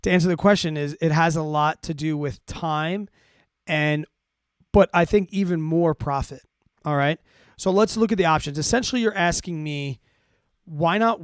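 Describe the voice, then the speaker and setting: A man's voice: fairly high pitch, smooth, dry recording, studio quality. A man hosting a finance livestream, explaining things casually and conversationally while checking in with his listeners. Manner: conversational, repeats check-ins like "right?" and "okay?". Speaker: host of a finance livestream answering listener questions. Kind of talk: casual explanatory talk to a live audience.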